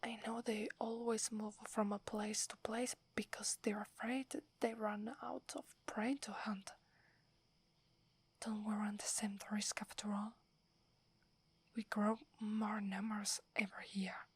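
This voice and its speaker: A woman's voice: medium pitch, level tone, unhurried, dry recording. A woman with an Italian accent voicing a devoted young female werewolf who defers to her alpha, in a whispered ASMR roleplay. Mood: uneasy, questioning. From Italy. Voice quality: breathy whisper. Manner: hesitant, reverent, submissive. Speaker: voice actress.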